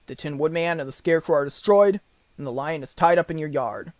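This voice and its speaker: A man's voice: fairly high pitch, fairly quick, no room sound, close to an everyday voice. Man as solemn winged monkey leader. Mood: firm, defiant. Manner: formal, declarative pronouncements.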